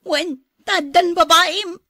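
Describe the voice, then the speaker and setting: A woman's voice: very high voice, steady tone, takes it slowly, pushed and bright, no room sound, clean studio sound, full character voice. A woman acting in an Ilocano radio drama as a hurt, suspicious wife and mother, with an Ilocano Filipino accent. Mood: hurt, accusing.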